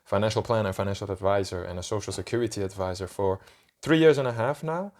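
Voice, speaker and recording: A man's voice: fairly low pitch, fast talker, darkish tone. A young man from Belgium, a financial advisor, talking casually as an interview guest with many fillers and tag questions.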